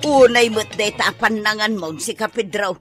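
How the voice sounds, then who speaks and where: A woman's voice: medium pitch, normal speed, a bit bright, soft background music, lightly acted. A woman playing a disapproving older village mother in a radio comedy-drama, with an Ilocano accent. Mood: scolding.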